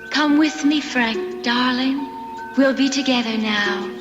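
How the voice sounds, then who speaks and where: A woman playing a gentle, ethereal ghost luring her lover in an old-time radio drama. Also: high-pitched, slow pace, muffled recording. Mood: tender, coaxing. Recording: long cavernous reverb, music behind.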